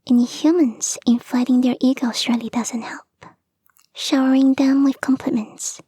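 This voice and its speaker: Breathy, whispering fox girl, acted by a woman. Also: sits high, level tone, mellow, dry recording. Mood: fond, wry. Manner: slow, teasing, intimate. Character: playful, devoted kitsune fox girl, bodyguard and girlfriend. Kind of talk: ASMR roleplay, soft whispery delivery. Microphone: close microphone, dry.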